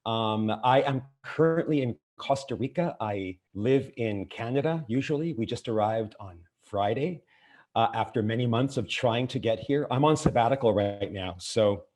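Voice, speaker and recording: A man's voice: medium pitch, normal speed, a little mellow. A middle-aged man from Argentina giving a conference keynote over a video call, hesitant, with many fillers and restarts. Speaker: keynote speaker. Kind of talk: online conference keynote talk.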